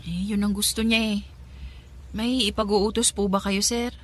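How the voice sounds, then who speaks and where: An actress playing a timid young housemaid who longs to escape, hesitant and deferential, in a Filipino radio drama. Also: female voice, medium pitch, little pitch movement, normal speed, fairly bright tone, close to an everyday voice. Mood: meek, hesitant. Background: music bed.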